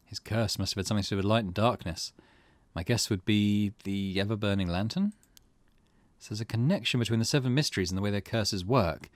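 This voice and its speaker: A man voicing a wary, excitable young hero of a visual novel, full of questions. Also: on the low side, fairly quick, no room sound, close to an everyday voice. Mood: musing. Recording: music behind the voice.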